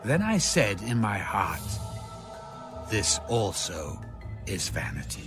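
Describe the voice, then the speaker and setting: A man giving a solemn, deliberate, dramatic audiobook reading of scripture. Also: fairly low pitch, wildly swooping pitch. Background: background music.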